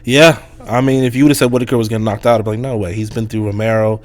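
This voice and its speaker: A man hosting a casual sports podcast, talking through a hoarse voice in a loose, rambling way with lots of fillers. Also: fairly low pitch, fairly even intonation, quick pace. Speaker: podcast host.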